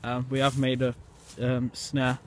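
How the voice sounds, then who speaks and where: A man's voice: mid-range voice, monotone, unhurried. A teenage boy vlogging a camping trip outdoors into a handheld phone, rambling with lots of fillers. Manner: rambling, filler-heavy, hushed at night. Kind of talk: casual camping vlog. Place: outdoors, woods by a lake. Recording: phone outdoors with wind noise.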